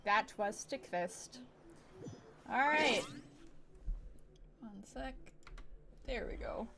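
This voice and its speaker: A woman's voice: a little high, lively intonation, drawn-out words, creaky, noisy background. A woman streaming games, chatting casually in a rambling, giggly way that circles back on itself. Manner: rambling, giggly, repeats herself. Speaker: video game streamer. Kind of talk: casual livestream chat.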